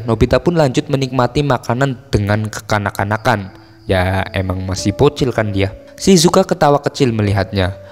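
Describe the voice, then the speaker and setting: A man's voice: mid-range voice, a little mellow. An Indonesian man narrating a story recap in a casual scripted style, with colloquial, teasing asides. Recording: long reverb, music behind. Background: music.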